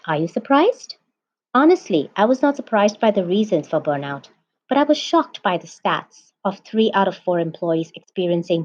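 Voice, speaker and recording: A woman podcast host speaks in a measured, deliberate way. Her voice sits at a middle pitch for a woman. The recording is slightly dull and lo-fi. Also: darkish tone. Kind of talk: scripted podcast intro and interview. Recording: dull lo-fi sound.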